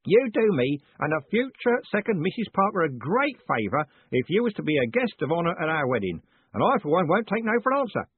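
A man voicing a loud, blunt old male merchant who bellows and calls people "lad". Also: very animated, fairly quick, slightly rough, no echo. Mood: hearty. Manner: booming, hearty, uses "lad" and "young man". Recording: dry, tinny phone-like audio.

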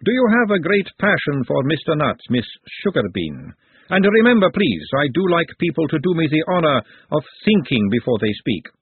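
A man voicing a poised, haughty, exacting upper-class woman in an audiobook, with formal, measured, precise phrasing. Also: a little high, big pitch swings, breathy edge, no room sound, pitched above the performer's own voice, exaggerated voice. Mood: cool, amused. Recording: narrow phone-like sound, very dry.

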